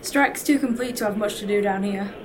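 A woman's voice: medium pitch, fairly quick, recorded from a distance, heavy background noise, close to an everyday voice. A woman playing a wry, chatty striker on a 1919 picket line in an audio drama. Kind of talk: scripted audio drama dialogue.

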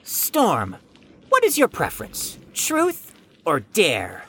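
A woman's voice: on the low side, huge pitch swings, takes it slowly, fairly bright tone, a little hiss or hum, exaggerated voice. A woman playing a prim, haughty young know-it-all in an audio drama, pompous, precise and wordy. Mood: dry, prim.

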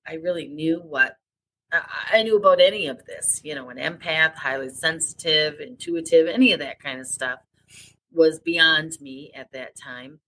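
A woman's voice: deep voice, pushed and bright, poor audio. A woman co-hosting a podcast in casual chat, stuffy with sniffles and talking in a rambling way with restarts. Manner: rambling, chatty, frequent restarts. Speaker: podcast co-host.

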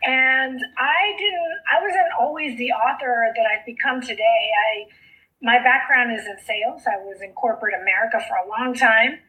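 Middle-aged woman from south texas, spanish was her first language, bright voice, kinda high, heartfelt story. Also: low-quality recording. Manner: earnest, heartfelt storytelling with slow emphatic phrases. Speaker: guest, author and coach. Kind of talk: podcast interview.

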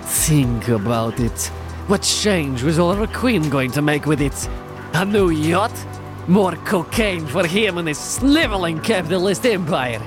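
A man playing a taunting, grandiose supervillain in a superhero audio drama, with theatrical, pompous, drawn-out delivery. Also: a little high, animated, music in the background. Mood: scornful, grandiose.

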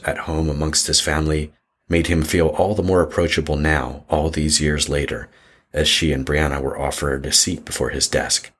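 A man's voice: very deep voice, a bit bright. A man narrating a scripted horror story in an audio drama.

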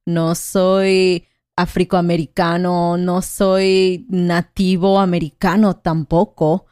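A woman from Mexico talks through her thoughts in an unhurried, hesitant way, checking in with her partner as she goes. Her voice sits a little low, and the recording is dry and close, with no room sound. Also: level tone, darkish tone, no echo. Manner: thoughtful, hesitant, with frequent "¿no?" tags. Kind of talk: casual conversational chat.

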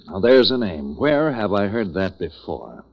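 An actor playing a grudge-bearing homicide detective in a 1940s radio drama, dry and taunting. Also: male voice, low voice, big pitch swings, a little slow, exaggerated voice. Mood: sarcastic, needling. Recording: muffled 1940s radio recording.

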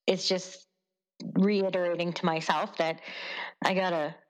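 A woman's voice: fairly low pitch, flat delivery, unhurried, poor audio. Earnest reflective Canadian woman. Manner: earnest, reflective, laughs often. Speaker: journalist guest. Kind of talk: casual interview conversation.

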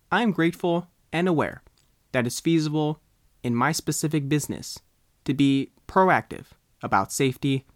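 A man's voice: mid-range voice, no echo, studio quality. An American man reading a scripted news article aloud as a reporter.

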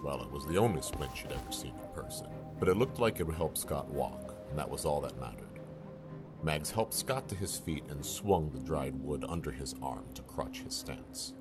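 A man's voice: rumbling low, lively intonation, moderate pace, music in the background. A man playing the narrator of a suspense audio drama, reading scripted storytelling. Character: grave male storyteller narrator of suspense tale.

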